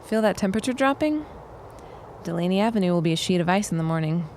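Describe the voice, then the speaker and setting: A woman's voice: lowish voice, expressive, quick pace, background noise, natural voice. An actress playing a wry, practical woman in a period audio drama, dry and plain-spoken.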